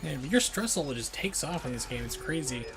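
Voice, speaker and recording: A man's voice: a little high, expressive, fairly quick, music in the background. A man casually commentating on a video game as he streams it live. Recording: noisy stream with game sound. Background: video game ambience.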